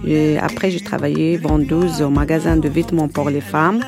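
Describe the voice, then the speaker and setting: A woman's voice: sits low, level tone, normal speed. A woman in her fifties from Morocco, introducing herself in French with a Moroccan accent. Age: 50s. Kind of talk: self-introduction. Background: music.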